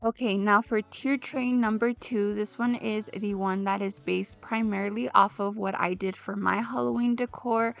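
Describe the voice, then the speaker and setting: A young woman with a hoarse, raspy voice, chatting casually to her viewers in a home decor voice-over. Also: mid-range voice, fairly even intonation, normal speed, few pauses. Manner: chatty, addresses viewers as friends. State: hoarse from illness.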